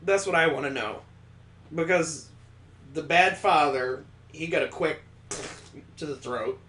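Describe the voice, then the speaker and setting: A man's voice: on the high side, a little slow, a bit bright, echoey room, slight background noise. A man reading a visual novel aloud, mixing dramatic narration with sarcastic comic asides. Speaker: let's play host.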